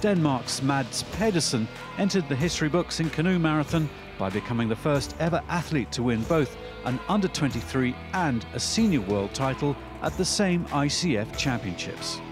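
A man's voice: fairly high pitch, lively intonation, moderate pace. A man reading scripted narration for a sports documentary. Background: background music.

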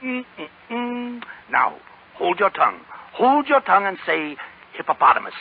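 An actor playing an oily, theatrical swindler with a raspy voice and effusive, flowery manner, in a 1930s radio drama. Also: male voice, high-pitched, huge pitch swings, measured pace, exaggerated voice. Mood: mock alarm. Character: theatrical, oily swindler posing as a kindly friend. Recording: muffled 1930s radio recording.

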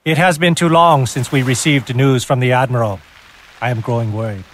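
Male actor as a grave, principled treasure-fleet captain for a strategy game; husky, formal, measured. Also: medium pitch, steady tone, a bit bright, no room sound, lightly acted. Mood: urgent, resolute. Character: earnest, dutiful Chinese treasure-fleet captain, grave and honorable. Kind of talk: dramatic game cutscene acting. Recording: game audio with music behind.

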